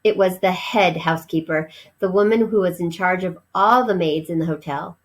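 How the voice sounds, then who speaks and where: A woman's voice: on the low side, moderate pace. A woman reading a children's storybook aloud as narrator, explaining things as if to young listeners.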